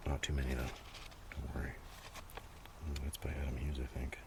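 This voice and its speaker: Very deep-voiced man murmuring ASMR outdoors. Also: flat delivery, brisk. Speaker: host of a comics collection ASMR video. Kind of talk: soft casual ASMR rambling, often dropping into whisper. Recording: noisy outdoor recording with breeze. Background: breeze, page flipping, ambient noise.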